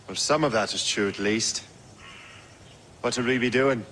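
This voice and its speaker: A man's voice: mid-range voice, normal speed, fairly bright tone, slight background noise, natural voice. A man playing a cocky, wry young assassin trainee in a video game, with a self-assured, teasing manner. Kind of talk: video game cutscene acting.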